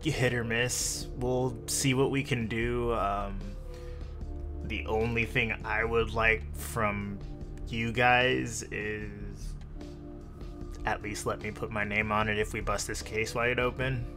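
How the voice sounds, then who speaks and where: A man's voice: lowish voice, steady tone, slow, over music. A man playing a businesslike, self-promoting investigator in a tabletop game, talking in a matter-of-fact way.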